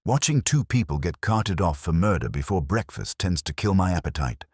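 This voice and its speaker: A man's voice: low-pitched, expressive, moderate pace, a little mellow, husky, no room sound, studio quality. A man voicing a wisecracking detective's assistant in an audiobook, with wry, casual quips.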